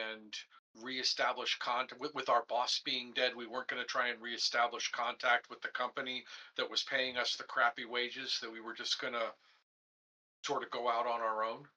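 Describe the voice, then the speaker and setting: A man chats casually as a tabletop game player, his voice very bright and forceful. He halts and restarts his words as he recalls things. His pitch sits in an ordinary middle range. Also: low-quality recording.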